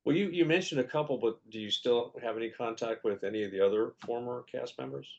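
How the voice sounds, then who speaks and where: Through somewhat muffled, lo-fi audio, a male interview host talks quickly. His pitch is average and his questions come in a casual, chatty style. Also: vocal fry. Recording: dull lo-fi sound.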